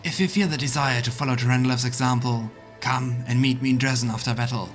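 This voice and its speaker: A man voicing a solemn, wise gold dragon in healer guise from a fantasy game, with formal, measured speech. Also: medium pitch, fairly even intonation, close to an everyday voice. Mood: grave, earnest. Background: game music.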